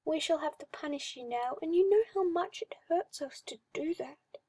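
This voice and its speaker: A woman's voice: high voice, expressive, mellow, no room sound, voice pushed up. A cruel, possessive male magister, as a woman reader puts him on, croons with sly mock tenderness and stretched-out words. The sound is dry and close. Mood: cooing menace. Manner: cooing, drawn-out, mock-tender.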